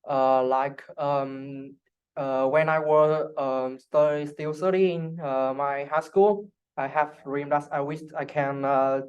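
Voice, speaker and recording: A young man who speaks English as a second language, answering interview questions casually and haltingly, searching for words. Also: fairly high pitch, fairly even intonation, clean tone, highs cut off. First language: not English. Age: young adult. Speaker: interview guest, international student.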